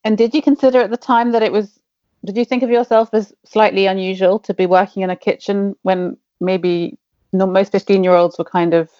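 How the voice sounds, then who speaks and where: A woman hosting an interview, asking a question in a measured way as she searches for words. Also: medium pitch, fairly even intonation, mellow, dry recording, highs cut off. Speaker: host, interviewer.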